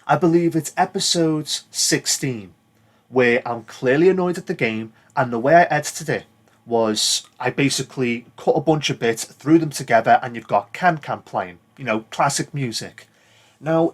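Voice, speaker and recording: A man's voice: medium pitch, slightly rough. A British man giving casual, rambling commentary as a gaming video creator, plain-spoken and given to swearing.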